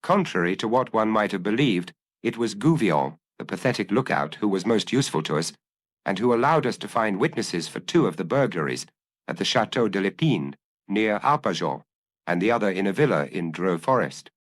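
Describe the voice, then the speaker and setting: A man narrates a detective tale in a scripted audiobook style. His voice sits on the low side and moves through lively, varied intonation. The sound is dry, with very little room in it. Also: normal speed, no echo.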